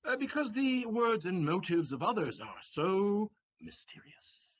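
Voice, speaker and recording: A man's voice: sits high, big pitch swings, unhurried, muffled recording, exaggerated voice. A man playing a witty, impudent servant barber in a stage comedy audio play, sly and arch. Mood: sly, defiant.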